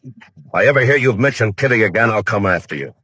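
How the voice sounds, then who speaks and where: A man playing a stern, protective Old West marshal in a 1950s radio western, speaking in a measured, plain-spoken way. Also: lowish voice, expressive, bright, forceful tone, slightly rough, no room sound, heavily acted.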